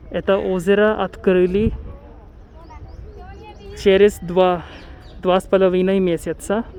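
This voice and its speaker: A man's voice: sits high, a little mellow, slight background noise. A man from India, narrating a walking tour outdoors in a lakeside park, careful and dreamy, often correcting himself. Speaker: travel vlogger.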